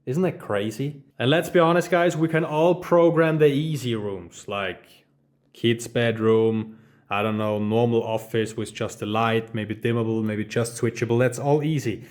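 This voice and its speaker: A man's voice: mid-range voice, fairly even intonation, unhurried. German-accented man teaching smart home lighting. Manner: enthusiastic, casual, addresses viewers as guys. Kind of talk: hands-on tutorial walkthrough. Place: office studio room, indoors. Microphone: phone camera held while walking.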